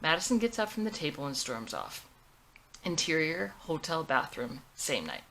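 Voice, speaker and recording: A woman's voice: sits low, sing-song, moderate pace, creaky, fairly bright tone, slight background noise, low-quality recording. A woman narrating the stage directions of a screenplay as its writer reading it out.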